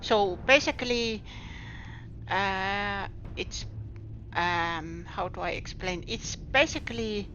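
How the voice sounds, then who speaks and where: Finnish-accented woman streamer, halting, hunts for words, slow and lively, dull lo-fi audio. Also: mid-range voice, slight background noise. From Finland. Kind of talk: casual live-stream chat while gaming.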